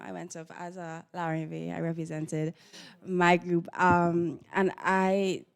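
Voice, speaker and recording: A woman's voice: mid-range voice, fairly bright tone. A woman with a Trinidadian accent talking casually and candidly as an actress being interviewed.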